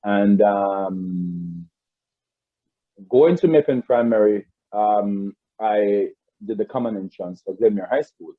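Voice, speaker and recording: A man's voice: fairly low pitch, slow pace, no room sound. A man with a Jamaican accent, a guest in a casual interview, telling stories about growing up, rambling with frequent restarts over a video call. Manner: rambling, many restarts and repeated words. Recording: tinny phone-like call audio.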